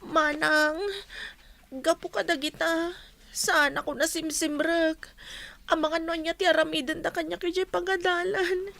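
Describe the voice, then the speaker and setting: A woman's voice: very high voice, flat delivery, measured pace, vocal fry, a bit bright, heavily acted. A woman acting a distressed young student, a plaintive younger sister in an audio drama. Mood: ashamed, tearful. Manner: plaintive, whining.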